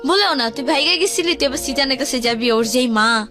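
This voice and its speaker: High-voiced young Bangladeshi woman storytelling. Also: lively intonation, brisk, fairly bright tone, soft background music. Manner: colloquial, acts out quoted speech. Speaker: guest telling her life story. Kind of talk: conversational personal storytelling.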